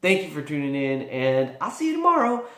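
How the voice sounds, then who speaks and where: A man with a fairly high voice talks in a casual, rambling vlog style. He thinks aloud with animation, and the room around him is noticeably echoey. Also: huge pitch swings, a little fast, pushed and bright. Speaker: tabletop gaming vlogger.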